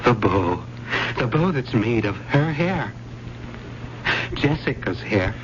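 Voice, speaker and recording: An actor playing a volatile, needy, murderous professor in a theatrical, insistent old-time radio drama style. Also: male voice, medium pitch, sing-song, slow. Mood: menacing, intense. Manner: theatrical, insistent, repeating himself. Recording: muffled, very noisy old radio recording.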